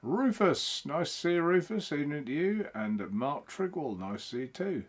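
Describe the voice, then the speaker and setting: A man hosting a live stream from his home, talking in a loose, rambling way. His pitch rises and falls a lot as he greets viewers by name one after another. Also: lively intonation, normal speed. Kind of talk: casual live-stream chat.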